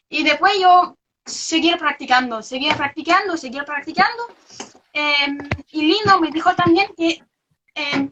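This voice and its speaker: Young belgian woman, spanish not her first language, lots of ems and restarts, high voice, muffled video-call sound. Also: sharp tone, a little hiss or hum. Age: young adult. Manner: hesitant, many fillers and restarts. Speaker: researcher guest. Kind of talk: casual interview over a live video stream. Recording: lo-fi online video call audio.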